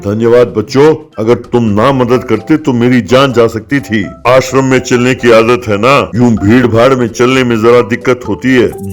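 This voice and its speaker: A man's voice: medium pitch, natural voice. A man voicing a kindly, formal holy man from a forest hermitage in a story. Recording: music behind the voice.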